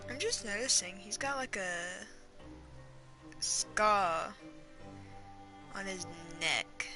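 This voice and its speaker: A woman's voice: mid-range voice, animated, drawn-out words. A woman giving casual game playthrough commentary, rambling, repeating herself and reacting aloud. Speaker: gaming streamer. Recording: game music faintly behind.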